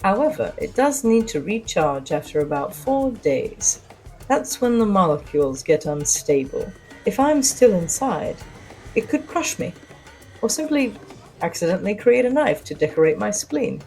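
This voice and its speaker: A woman playing a witty, self-assured spy and socialite, narrating her own adventure with arch, wry, theatrical pauses. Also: a little high, very animated, vocal fry, darkish tone, soft background music, poor audio. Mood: playful, boastful. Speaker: narrator of an audio drama. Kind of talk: first-person scripted dramatic narration.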